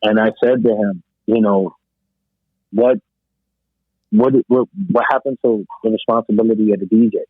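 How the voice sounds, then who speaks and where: A man's voice: lowish voice, fairly even intonation, normal speed, long pauses, no echo. A man talking as a DJ on a casual hip-hop discussion panel, emphatic and repeating words to drive his point home. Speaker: DJ and podcast panelist. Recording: thin, phone-like call audio.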